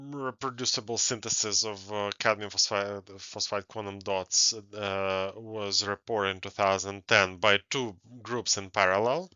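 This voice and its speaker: A man in his forties giving a scientific conference lecture in a halting, hesitant way with frequent pauses. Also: on the low side, bright, forceful tone, smooth, dry recording. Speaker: university researcher presenting. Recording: dull, muffled lo-fi audio.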